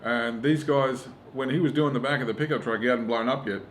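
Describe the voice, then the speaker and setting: A man's voice: mid-range voice, fairly quick, a little hiss or hum. A middle-aged Australian man, a stand-up comedian, chatting casually and swearing freely as he tells emphatic stories. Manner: profane, emphatic storytelling with acted-out quotes. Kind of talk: casual chat.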